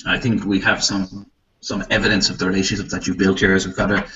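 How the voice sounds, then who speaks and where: Low-voiced host guy talking quick, sounds far off on a muffled web call. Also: flat delivery, fairly bright tone. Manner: brisk, relaying audience questions. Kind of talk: online show hosting. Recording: distant, dull lo-fi web call.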